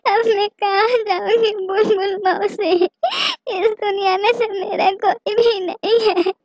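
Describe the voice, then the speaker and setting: A woman's voice: very high-pitched, expressive, slow, a little hiss or hum, pitched above the performer's own voice, exaggerated voice. A woman voicing a sad, childlike little-sister bird in a children's cartoon, pleading and plaintive. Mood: sorrowful. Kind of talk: Hindi children's cartoon dialogue.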